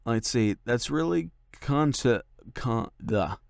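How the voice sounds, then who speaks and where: A man's voice: medium pitch, animated, takes it slowly, gravelly, a little mellow, no echo. Young guy doing a chill q&a, tons of likes and ums, really dry sound. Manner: rambling, filler-heavy, many likes and ums. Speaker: channel host and voice actor. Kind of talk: casual Q&A chat.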